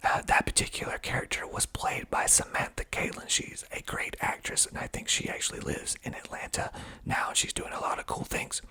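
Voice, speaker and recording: A man's voice: fairly quick, pushed and bright. A man whispering breathily in an ASMR style as he reads aloud, adding wry, understated asides. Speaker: filmmaker and actor reading reviews of own film.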